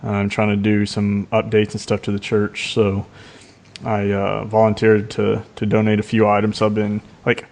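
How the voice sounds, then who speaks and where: A man with a fairly low-pitched voice speaks as a podcast co-host. His delivery is informal and conversational, like talk among friends. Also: monotone, moderate pace, vocal fry, a little mellow. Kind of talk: casual chat.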